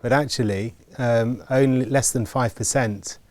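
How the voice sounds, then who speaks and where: A man giving a documentary interview about education, measured and hesitant, often pausing on fillers and repeating words. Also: medium pitch, steady tone, darkish tone.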